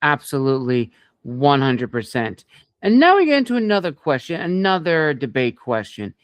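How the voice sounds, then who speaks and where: A man hosting an interview podcast, asking long questions in an earnest, measured and somewhat formal way. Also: a little high, huge pitch swings, dry recording, studio quality. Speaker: podcast host, interviewer and author.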